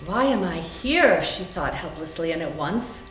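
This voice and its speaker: A woman's voice: on the low side, lively intonation, unhurried, fairly bright tone. Woman doing dramatic reading, echoey room. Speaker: readers theater narrator. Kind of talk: scripted dramatic reading of a novel. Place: indoor room, live library reading. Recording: echoey room with faint hum.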